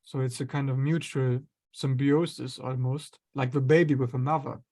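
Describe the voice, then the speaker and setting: A man, an astrologer guest, explaining ideas in a relaxed conversational way, sometimes acting out inner voices. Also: medium pitch, moderate pace. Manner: explains with acted-out inner voices and light laughs.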